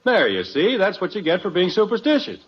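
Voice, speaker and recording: Blustery, exasperated radio sitcom dad. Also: male voice, high voice, wildly swooping pitch, a little fast. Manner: blustering, argumentative, emphatic. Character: exasperated, stubborn family father who scoffs at superstition. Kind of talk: old-time radio sitcom acting. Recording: dull old radio recording.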